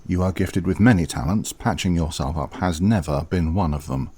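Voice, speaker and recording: An actor playing a dutiful older butler in an audio drama, formal, measured and dryly disapproving, with a husky voice. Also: male voice, low voice, dark tone. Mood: gently insistent. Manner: formal, measured, politely dry.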